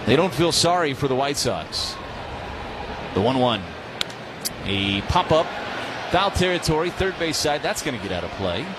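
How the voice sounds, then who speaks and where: A man's voice: medium pitch, very animated, normal speed, long pauses, background noise. A man calling a baseball game live on the radio as play-by-play announcer. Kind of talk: live radio sports commentary. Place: stadium broadcast booth.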